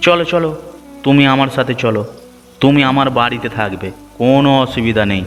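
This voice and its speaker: A man's voice: mid-range voice, expressive, a little fast, a bit bright, close to an everyday voice. A man voicing a kind-hearted village fisherman in a cartoon story, concerned and coaxing, with music behind. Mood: kindly, coaxing. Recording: background music.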